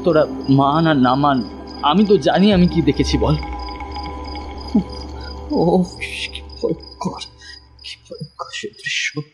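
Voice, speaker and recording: An actor playing a terrified, insistent young city man who stammers and repeats himself in a horror audio drama. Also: a little high. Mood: shaken. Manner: stammering, halting, repeats words. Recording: loud music over the voice.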